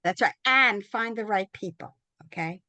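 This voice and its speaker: A woman co-host speaks emphatically in a relaxed conversation, sometimes rushing through a phrase in a quick burst. Her voice sits at a medium pitch. The recording is dry and close, with no room echo. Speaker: bereavement specialist, co-host. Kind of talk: casual heartfelt conversation.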